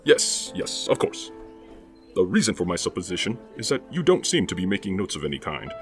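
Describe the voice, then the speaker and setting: A pompous, lecturing old football authority. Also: male voice, low-pitched, big pitch swings, brisk. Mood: lofty. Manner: grandiloquent, formal and lecturing. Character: pompous older male football authority, 1920s. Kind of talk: audio drama acting. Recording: music playing underneath.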